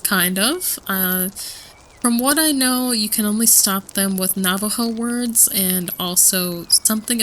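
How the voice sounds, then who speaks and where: A woman's voice: medium pitch, sharp tone, rough recording. A woman playing a student who shares eerie monster lore in a casual, hesitant way, in a campfire audio roleplay. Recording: faint ambient noise.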